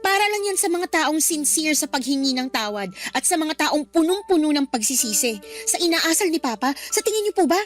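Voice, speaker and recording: Defiant, outspoken Filipino daughter, radio drama, extremely high pitch, bitter and confrontational. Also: female voice, sing-song, brisk, faint music behind. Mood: resentful. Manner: confrontational, sarcastic.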